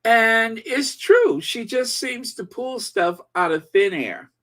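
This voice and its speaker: A man in his forties hosting a casual commentary channel, rambling with many pauses and fillers while reading viewer comments. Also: extremely high pitch, expressive, a bit bright. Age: 40s. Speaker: channel host.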